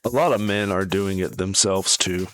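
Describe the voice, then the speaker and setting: A man's voice: sits low, fairly even intonation, normal speed, fairly bright tone. A man giving casual, opinionated reaction commentary as a video host, in a sardonic, dismissive manner. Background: background music.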